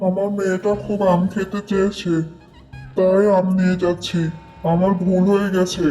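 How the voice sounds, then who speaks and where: A man's voice: high-pitched, little pitch movement, moderate pace, mellow, soft background music, close to an everyday voice. A man voicing a meek, frightened village father in a cartoon, speaking anxiously. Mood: pleading. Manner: anxious, pleading. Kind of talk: cartoon voice acting.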